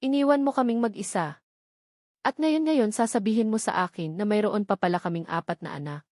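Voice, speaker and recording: A woman's voice: medium pitch, brisk, a little mellow, dry recording, clean studio sound, natural voice. A woman voicing a distraught policewoman mother in a narrated love drama, emotional and full of questions. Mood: angry, hurt. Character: distraught young policewoman mother, hurt and angry.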